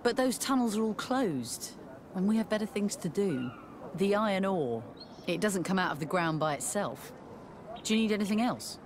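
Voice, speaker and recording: Game role: busy, practical female miner woman acting, matter-of-fact and animated, over clear background noise. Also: medium pitch, normal speed, close to an everyday voice. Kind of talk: video game character dialogue.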